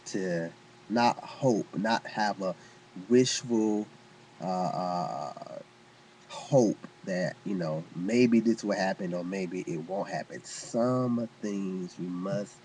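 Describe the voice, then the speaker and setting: A man reading an inspirational first-person story aloud as a storyteller, with earnest, deliberate phrasing and dramatic pauses. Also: sits low, slight background noise, rough recording.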